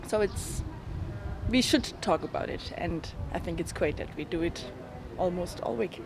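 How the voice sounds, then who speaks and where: A woman, speaking in a casual interview and pausing to find her words. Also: fairly low pitch. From Germany. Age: 20s. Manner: thoughtful, pauses to find words. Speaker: youth rally participant. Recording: noisy outdoor recording.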